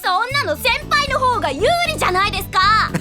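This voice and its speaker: Perky young female rookie lawyer: extremely high, animated voice, cheeky and competitive. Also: fairly quick, over loud music. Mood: spirited. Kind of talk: Japanese audio drama acting.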